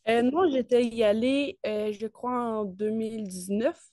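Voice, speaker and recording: A woman's voice: fairly high pitch, steady tone, unhurried, warm tone, no echo, studio quality. A woman of nineteen with a Quebec French accent, chatting casually and enthusiastically as an interview guest in colloquial speech. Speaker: interview guest, network marketer. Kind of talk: casual chat.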